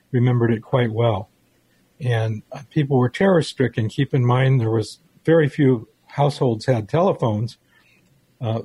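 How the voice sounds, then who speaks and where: An American man in his sixties talks in a mellow, relaxed voice with some huskiness. He sounds like a talk show co-host sharing memories in a conversational way. The recording is dry and close. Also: mid-range voice, breathy edge, no echo, low-quality recording. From United States. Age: 60s.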